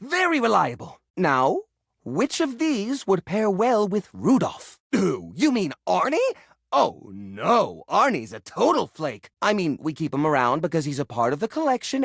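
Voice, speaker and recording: An actor voicing a quirky, sentimental male junk drawer come to life in a video game, fussy, earnest and expressive. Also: high voice, normal speed, dry recording, studio quality, full character voice. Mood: fond, musing, then dismayed, protective. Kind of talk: video game character acting.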